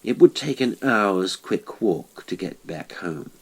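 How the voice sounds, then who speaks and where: A man narrates a horror audiobook. His voice is deep and grainy, and he reads very slowly. The delivery is deliberate and dramatic, with every phrase carefully measured. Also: expressive, fairly bright tone, husky, rough recording.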